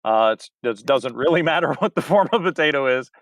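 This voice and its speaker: A man's voice: mid-range voice, lively intonation, a little fast, a bit bright. A man, middle-aged, chatting casually as an interview guest in an easygoing, dryly joking way. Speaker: interview guest, local theatre director. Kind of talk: casual chat.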